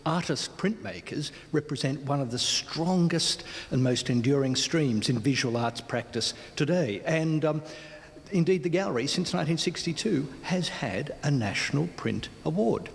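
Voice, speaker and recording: A man's voice: fairly high pitch, lively intonation, moderate pace, gravelly, slight background noise. A man with an Australian accent giving articulate, considered explanations in an interview, in wordy phrases with asides. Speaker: gallery spokesperson, interviewee. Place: large indoor gallery space. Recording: reverberant gallery hall.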